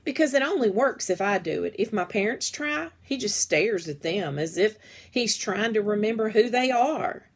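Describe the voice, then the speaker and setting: A woman reads a children's book aloud at a medium pitch. Her delivery is expressive, in the style of storytime narration. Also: normal speed. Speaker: read-aloud reader.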